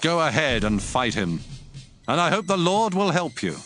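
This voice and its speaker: A man's voice: on the high side, sing-song, normal speed, fairly bright tone, soft background music. An actor playing a solemn, doubtful king in a dramatized audiobook. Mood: resigned blessing. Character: doubtful, solemn king addressing a young volunteer.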